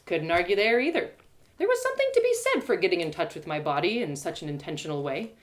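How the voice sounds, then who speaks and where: A woman narrating a fantasy tale dramatically in the first person, with a theatrical, expressive storyteller's manner. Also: lowish voice, quick pace. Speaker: storyteller and host.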